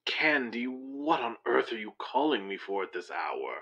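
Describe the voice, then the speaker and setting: A man's voice: medium pitch, very animated, measured pace, muffled recording, noisy background. A man playing a theatrical, fussy detective's sidekick with a florid, pompous, languid way of talking in an audio drama. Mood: grumbling.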